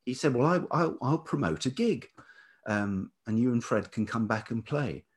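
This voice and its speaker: A man's voice: medium pitch, lively intonation, normal speed. A middle-aged man with a velvety voice, telling stories reflectively and hesitantly as an interview guest, often repeating words as he searches for the next. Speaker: interview guest, writer and musician. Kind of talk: reflective conversational storytelling.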